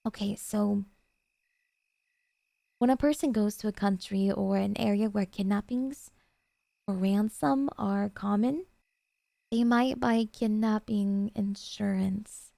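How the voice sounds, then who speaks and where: A woman playing a sweet, possessive, obsessive girlfriend in an ASMR roleplay, speaking softly and dotingly with a breathy intimacy. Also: mid-range voice, steady tone, slow pace, pauses often, no echo. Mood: musing, explaining.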